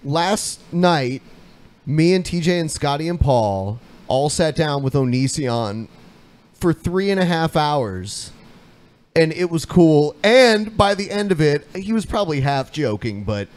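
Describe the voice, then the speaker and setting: A man's voice: a little high, very animated, a little slow. A man hosting a podcast, chatty and telling stories, often protesting in mock outrage. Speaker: podcast host. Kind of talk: casual podcast banter.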